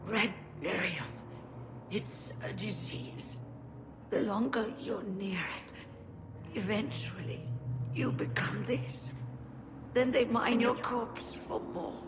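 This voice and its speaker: A woman playing a frail, dying imprisoned mage in a fantasy game, speaking faintly and haltingly with urgency. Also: mid-range voice, over loud music. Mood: grim, weak. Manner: faint, halting, urgent speech with long pauses. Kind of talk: dramatic fantasy game voice acting.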